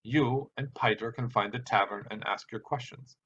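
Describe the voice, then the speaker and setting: Man voicing sharp, assertive storybook woman. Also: mid-range voice, steady tone, normal speed, close to an everyday voice. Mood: sharp, then softer. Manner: curt, scolding. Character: sharp, assertive young woman traveler in a fairy tale. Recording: dull sound with background hiss.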